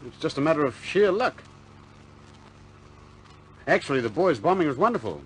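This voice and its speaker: A man's voice: a little high, big pitch swings, normal speed, fairly bright tone, slight background noise, low-quality recording. An actor playing a plucky, stubborn young RAF bomber airman in a 1950s British war film, blunt and informal in manner. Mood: breezy, frank.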